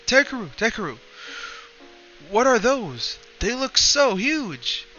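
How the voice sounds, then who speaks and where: A man voicing a clingy, excitable young girl in a high pleading voice, reading a story aloud. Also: slow pace, heavily acted. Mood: excited. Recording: lo-fi with background music.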